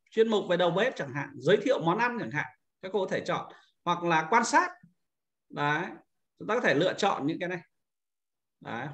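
A man's voice: high-pitched, a bit bright. A man narrating an informal step-by-step screen-share tutorial as an instructor, explaining and often adding short confirming tags.

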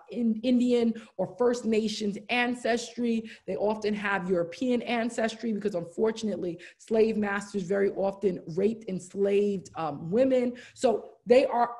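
A woman in her thirties, raised in the United States, leading an online diversity training and answering chat questions in an earnest, encouraging way. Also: a little high. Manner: earnest, warm, repeats "wonderful" and "right?". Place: indoors, room with brick wall. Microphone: laptop webcam, video call.

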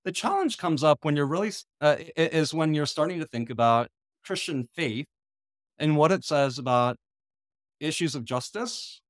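Measured, thoughtful man lecturing on a video call. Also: on the high side, expressive, no room sound, clean studio sound. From United States. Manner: measured, thoughtful, with frequent ums and restarts. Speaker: conference lecturer. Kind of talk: online lecture leading a discussion. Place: small indoor room. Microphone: video call webcam.

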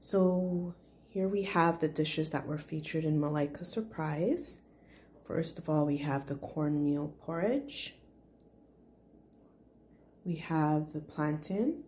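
Low-voiced woman chatting through a cooking vlog. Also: takes it slowly, long pauses, slight background noise. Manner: relaxed, chatty, with frequent ums. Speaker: author hosting a cooking video. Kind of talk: casual cooking vlog narration. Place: home kitchen.

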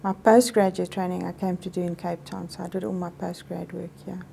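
A middle-aged South African woman whose first language is Afrikaans, a medical professor giving thoughtful, measured answers in an indoor interview. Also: on the low side, level tone, creaky, dark tone, a little hiss or hum. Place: office interview set, indoors.

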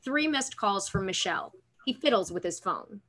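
A woman's voice: mid-range voice, expressive, moderate pace, a bit bright. A woman in her thirties reading screenplay stage directions as scripted narration over a video call. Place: room at home, indoors. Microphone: computer mic on video call.

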